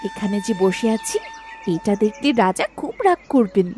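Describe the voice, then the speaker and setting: A woman voicing a gentle, loving palace lady in a fairy-tale cartoon, with tender, emotive delivery. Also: medium pitch, very animated, moderate pace, a little hiss or hum, close to an everyday voice. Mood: affectionate. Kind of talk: dramatic cartoon dubbing.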